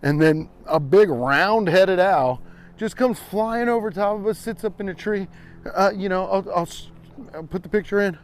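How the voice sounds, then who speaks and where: A man's voice: sits high, normal speed. An American man, around his forties, chatting casually and irreverently as he hosts a rambling comedic walking vlog, swearing freely. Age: 40s. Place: outdoors, walking on a trail.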